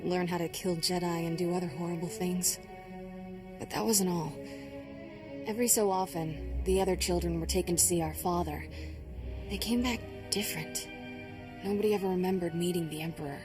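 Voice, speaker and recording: An actress playing a spirited, guarded young Jedi apprentice in a video game, speaking bluntly with a wry edge. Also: female voice, fairly low pitch, steady tone, normal speed, music in the background, close to an everyday voice. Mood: wry confession. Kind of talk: dramatic video game acting.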